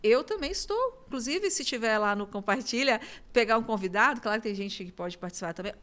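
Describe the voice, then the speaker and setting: A woman's voice: fairly high pitch, lively intonation, brisk, a bit bright. A woman from Minas Gerais hosting a studio talk show, effusive and talkative, laughing often as she chats with her guest. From Minas Gerais, Brazil. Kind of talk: casual studio interview.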